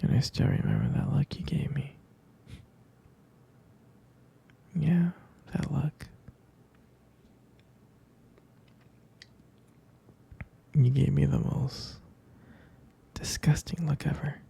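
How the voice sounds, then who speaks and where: A man playing a sleepy, affectionate half-vampire boyfriend in an intimate ASMR roleplay, murmuring softly and haltingly in a breathy, whispery voice. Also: medium pitch, wildly swooping pitch, exaggerated voice. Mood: tender, reminiscing. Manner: drowsy, halting, murmured endearments.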